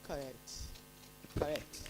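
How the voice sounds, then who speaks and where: A woman acting as quiz master, reading each contest question out deliberately and then repeating it. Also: heavy background noise. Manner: deliberate, reads each line twice.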